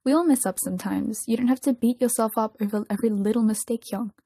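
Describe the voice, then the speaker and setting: A woman's voice: a little high, fast, dark tone, husky, dry recording, studio quality. A woman voicing an earnest, caring young male pop idol in a story, insistent and reassuring in manner. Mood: calm, reassuring.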